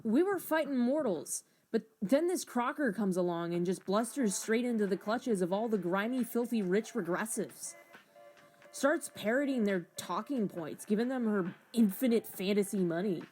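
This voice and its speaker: A woman acts out a jaded, grumbling schemer in a comic drama. The rant is exasperated and animated in pitch, with faint music underneath. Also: normal speed, natural voice. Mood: indignant. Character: grumbling, disillusioned political schemer with grudges. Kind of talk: hobby voiced comic drama.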